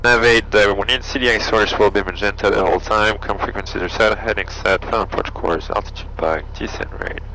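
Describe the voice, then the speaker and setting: A man with a low, bright voice runs through flight checklists as the pilot of a small plane. He speaks in short, clipped phrases, thinking aloud, with a gravelly fry and faint cockpit noise behind him. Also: fairly even intonation, fairly quick, hardly any pauses. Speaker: private pilot briefing and flying a small plane. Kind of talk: procedural cockpit briefing and checklist talk. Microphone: aviation headset.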